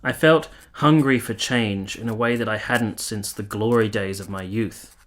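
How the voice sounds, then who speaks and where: An actor playing an earnest, tormented soldier of 1870s Italy in a radio drama, speaking in a formal, measured, literary manner. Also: male voice, fairly low pitch, natural voice. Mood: solemn, resolute. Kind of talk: dramatic radio play narration and dialogue.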